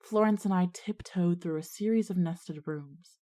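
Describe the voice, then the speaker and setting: A woman's voice: lowish voice, moderate pace, mellow, natural voice. A woman playing a resourceful, take-charge schoolgirl who both narrates and speaks in an audio drama. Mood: suspenseful narration. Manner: decisive, organizing. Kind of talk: audio drama dialogue and first-person narration.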